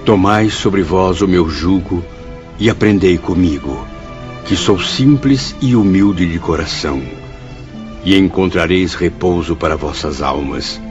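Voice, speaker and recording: Very deep, grave Brazilian spirit. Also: male voice, a little slow. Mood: reverent. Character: grave, wistful male spirit recalling his afterlife. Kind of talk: first-person dramatized narration. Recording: dull audio with background music.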